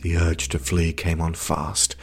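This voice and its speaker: A man narrates gothic horror in a very low, creaky voice. He is hushed and grave, taking his time over the scripted lines, with music clearly playing behind him. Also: monotone, unhurried.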